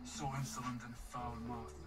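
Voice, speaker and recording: An actor playing a defiant captured foreign commander who talks in a haughty, indignant way. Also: male voice, mid-range voice, level tone, moderate pace, natural voice. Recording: game audio with music under it.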